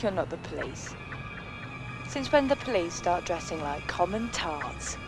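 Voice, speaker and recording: A woman playing a sharp-tongued, suspicious English mother in a video game, defiant in manner. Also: fairly low pitch, very animated, measured pace. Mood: wary. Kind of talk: video game cutscene acting. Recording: loud music and street sounds behind.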